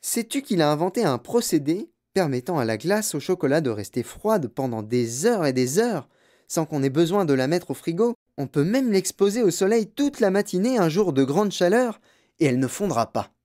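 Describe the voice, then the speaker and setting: A narrator voicing a grandfather in his nineties, an excitable and fond storyteller full of emphatic exclamations, in a storybook. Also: mid-range voice, normal speed, pitched above the performer's own voice, natural voice. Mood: marvelling, boastful. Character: excitable, awestruck very old grandfather, vivid storyteller.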